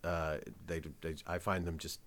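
A man's voice: deep voice, little pitch movement, moderate pace, a bit bright. A man hosting a podcast, chatting casually and jokily, swearing now and then. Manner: laid-back, jokey, swears casually. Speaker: podcast host. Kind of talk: casual chat.

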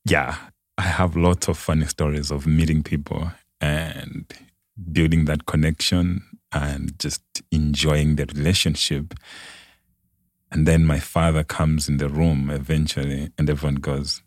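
Very deep-voiced Malawian man. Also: flat delivery, measured pace, no room sound, studio quality. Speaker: podcast guest. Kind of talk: conversational personal storytelling.

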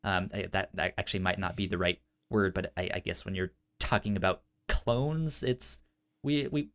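A man with a stammer chatting casually and enthusiastically as a podcast co-host, often halting on repeated sounds. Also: sits low, fairly bright tone. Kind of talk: casual unscripted podcast chat about entertainment news.

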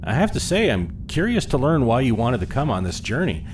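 An actor playing a curious, polite man who gently questions someone in a conversational way in an audio drama. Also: medium pitch, very animated, fairly quick, noisy background, lightly acted. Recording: music under the voice.

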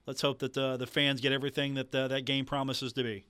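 A man's voice: medium pitch, flat delivery, quick pace, fairly bright tone, clean tone, dry recording. A man hosting a live sports talk radio show.

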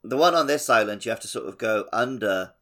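A man's voice: on the low side, expressive, brisk, sharp tone. A man co-hosting a podcast, chatting casually in a tentative, conversational way. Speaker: podcast co-host. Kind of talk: casual chat.

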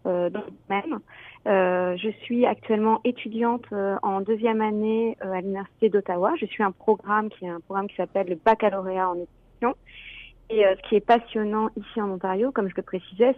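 A woman's voice: mid-range voice, no echo. A young woman from France speaks as a guest on a radio show, earnest and full of hesitations. Her voice comes through a narrow, tinny phone line. The sound is dry, with no room around it. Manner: earnest, many fillers. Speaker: teacher and education student, guest. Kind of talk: radio interview.

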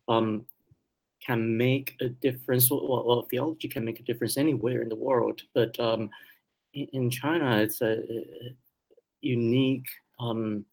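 Guest, male, middle-aged, slight Taiwanese accent, slow and halting, mid pitch. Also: darkish tone, low-quality recording. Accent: slight Taiwanese Mandarin accent. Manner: hesitant, many ums and restarts. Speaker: guest theologian. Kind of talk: interview answers.

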